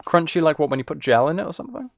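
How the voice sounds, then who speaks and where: A man's voice: a little high, expressive, very fast, gravelly, no echo, clean studio sound. A British man streaming a game and chatting casually with viewers, musing aloud in an informal, rambling way. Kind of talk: casual chat while playing a game.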